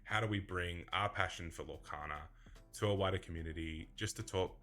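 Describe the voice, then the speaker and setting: Low, bright-voiced laughing man. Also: fairly quick, soft background music. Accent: mixed English and Australian. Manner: chatty, laughs easily. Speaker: podcast co-host. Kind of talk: casual chat.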